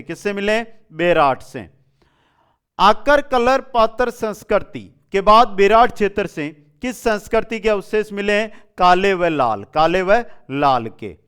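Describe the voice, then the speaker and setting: A man's voice: sits high, fairly bright tone, clean tone. A man teaching an online exam-prep class in question-and-answer form, repeating questions and answers and addressing his students directly. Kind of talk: question-and-answer quiz lesson.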